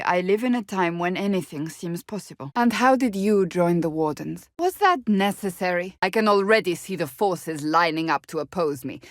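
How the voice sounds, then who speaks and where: A woman's voice: fairly low pitch, no room sound, clean studio sound, natural voice. An actress voicing a stern, devout warrior woman in a fantasy video game, speaking in a formal, blunt, commanding way.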